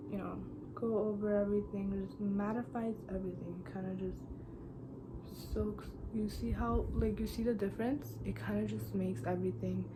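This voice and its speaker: A woman's voice: medium pitch, background noise. A woman in her twenties from Iran, chatting casually and bluntly while doing her makeup, giving motivational pep talk. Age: 20s. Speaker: beauty vlogger. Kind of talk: casual get-ready-with-me chat.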